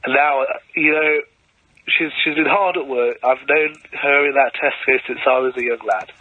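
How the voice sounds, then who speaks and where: A man's voice: medium pitch, normal speed, no echo. Man calling in on a dull phone line. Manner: rambling, roundabout storytelling. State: ill, losing his voice. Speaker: caller.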